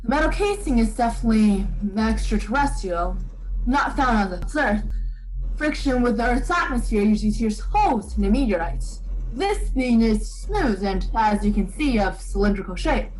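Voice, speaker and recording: Measured professor, fairly high voice played by a woman. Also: animated, darkish tone, lightly acted, poor audio. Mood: measured, puzzled. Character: measured, puzzled scientist professor. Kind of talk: radio drama interview answers.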